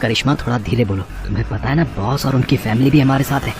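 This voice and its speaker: A man voicing an irritable, ambitious young husband with a brisk, exasperated manner in a cartoon story. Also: mid-range voice, slight background noise, lightly acted. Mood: hushed, worried. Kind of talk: Hindi moral story cartoon acting.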